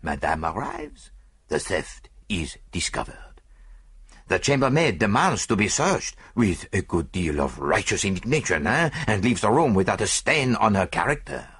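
A man's voice: sits low, sing-song, moderate pace, fairly bright tone, slightly rough, heavily acted. A man voicing a vain, fussy middle-aged Belgian detective in an audiobook, with a French-speaking Belgian accent and precise, theatrical delivery. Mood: triumphant, explanatory. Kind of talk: audiobook character dialogue.

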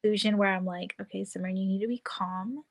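A woman's voice: medium pitch, steady tone, fast talker, creaky. A woman in her twenties, a guest in a casual chat, talking animatedly and acting out her own thoughts as quotes. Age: 20s.

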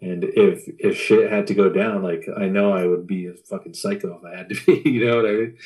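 A man's voice: deep voice, fairly even intonation, fairly quick, darkish tone, rough recording. A man in his thirties in casual conversation, blunt and sweary, often repeating his phrases. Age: 30s.

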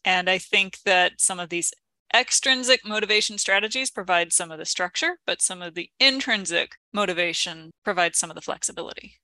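A woman speaking as co-host of an educational podcast, explaining in an articulate, measured, conversational way. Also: fairly low pitch, sharp tone, no echo. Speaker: podcast co-host, instructional designer.

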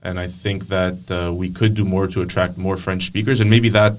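A man's voice: low-pitched, flat delivery, moderate pace, far from the mic. A man speaking as a student guest on a podcast, earnest and firm in his opinions. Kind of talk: conversational interview.

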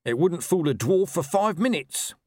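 Man voicing an earnest, deferential constable, raised pitch. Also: expressive, normal speed, darkish tone, no room sound, clean studio sound.

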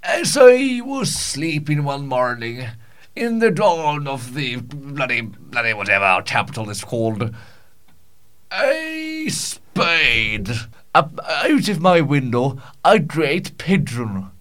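A man's voice: a little high, big pitch swings, slow, a bit bright, exaggerated voice. A man improvising a comic monologue as a pompous, rambling Scottish storyteller, with archaic phrasing, stumbles and repeats. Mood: grandiose, rambling.

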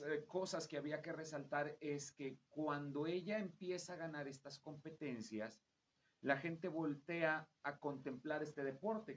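A Mexican man hosts a conversational interview show. His voice sits a little high for a man, and he explains things in a chatty, wordy way. There is faint noise behind him. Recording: clear background noise.

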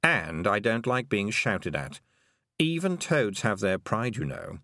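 Casting: male reader as unhurried, grumpy talking toad, deadpan and huffy-proud, very close dry sound. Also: on the low side, dry recording, exaggerated voice. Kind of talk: audiobook character acting.

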